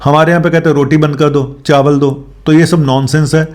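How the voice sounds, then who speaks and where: A man's voice: fairly high pitch, level tone, a little fast, darkish tone. A man speaking as a doctor on his health show, explaining informally with English medical terms mixed in and frequent tag questions.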